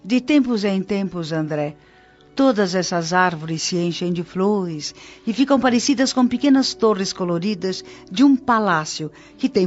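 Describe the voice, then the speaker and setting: A woman's voice: on the low side, dull audio. A woman playing an observant, patient old nurse guide in a Brazilian radio drama, explaining in an instructive way with a Brazilian accent.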